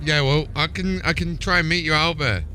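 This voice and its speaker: A man's voice: on the high side, a little fast, sharp tone, poor audio. A man hosting a gaming livestream, chatting casually in an informal, rambling way. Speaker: game livestream host. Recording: music playing behind the voice. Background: music.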